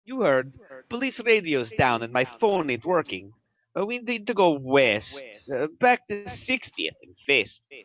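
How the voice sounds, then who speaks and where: A man's voice: on the high side, very animated, a little slow, a bit bright, muffled recording. A man acting a reluctant veteran cop near retirement, complaining and exasperated in a screenplay table read. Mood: gruff, suspicious.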